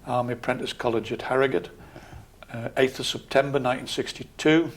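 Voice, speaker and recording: A man in his sixties with a Geordie accent, a local councillor calmly answering interview questions in a measured, matter-of-fact way. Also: mid-range voice. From Scotland, raised in Newcastle area. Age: 60s.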